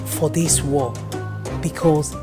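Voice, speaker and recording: A woman's voice: low-pitched, fairly even intonation, takes it slowly, loud music behind the voice. A woman with a Nigerian accent playing a solemn, devout believer in a gospel audio drama. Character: solemn, devout woman sharing prophetic insight.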